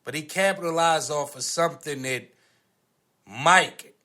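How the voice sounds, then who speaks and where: A man with an American accent and a higher-than-average, very bright voice. He argues casually as a podcast co-host, pressing his case in slangy, insistent talk. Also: measured pace. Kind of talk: casual debate.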